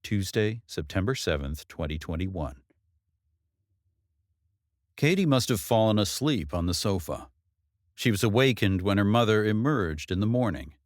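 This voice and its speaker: Low-pitched man narrating a novel, dry sound. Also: animated, unhurried, long pauses, no echo. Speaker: audiobook narrator.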